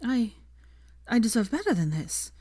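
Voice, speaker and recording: A woman's voice: a little high, big pitch swings, normal speed, dark tone, slightly rough, no echo, clean studio sound, voice pushed up. A woman voicing an indignant, wounded young witch in acted audiobook dialogue, with emphatic protest. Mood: shaken, tearful. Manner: outraged outbursts and emphatic protest.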